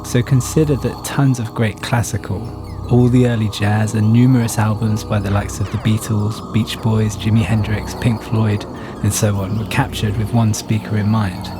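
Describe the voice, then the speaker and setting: A man's voice: on the low side, little pitch movement, normal speed. A man giving a scripted first-person narration, telling his own story. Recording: music playing behind the voice. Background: music.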